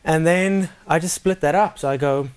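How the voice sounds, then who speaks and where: A young South African man giving a relaxed, step-by-step drum lesson in a casual live session. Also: fairly high pitch, moderate pace, clean tone. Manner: relaxed, plain-spoken, talks through patterns step by step. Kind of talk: casual live demo explanation.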